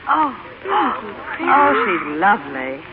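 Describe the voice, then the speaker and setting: A woman's voice: a little high, sing-song, extremely slow, over a noisy crowd, full character voice. An actress playing a gracious, well-bred widowed mother, polite and delighted, in an old radio drama. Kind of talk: 1930s radio acting. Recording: tinny, hissy old radio recording.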